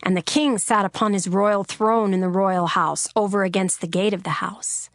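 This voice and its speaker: A woman narrating scripture in a measured, formal reading. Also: medium pitch, fairly even intonation, dry recording, studio quality.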